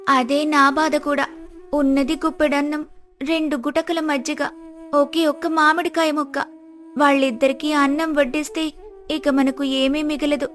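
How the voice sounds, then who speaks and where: A woman voicing a kind, polite poor village wife in a moral story, respectful and soft-hearted. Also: sits high, little pitch movement, moderate pace. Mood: worried, caring. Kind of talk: storybook dialogue acting.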